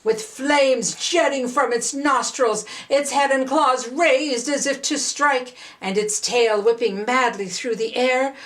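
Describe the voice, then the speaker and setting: An older woman reading a children's story aloud in an expressive, deliberate way with dramatic pauses. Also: high-pitched.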